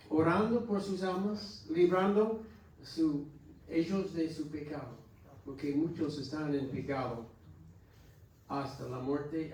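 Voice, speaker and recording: American guy preaching sunday school, kinda high voice, far from the mic in a big echoey room. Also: gravelly, darkish tone, slight background noise. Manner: earnest, exhorting with halting restarts. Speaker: pastor. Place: church hall. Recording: echoey church hall from far off.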